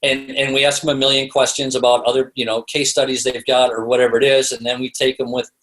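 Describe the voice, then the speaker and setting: A North American man talks as a guest expert in a casual interview. His pitch sits in an ordinary male range, and he explains in a loose, conversational way. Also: little pitch movement, quick pace, a bit bright, rough recording. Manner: conversational, explains through hypothetical examples and quoted lines. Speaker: interview guest, author and storytelling consultant.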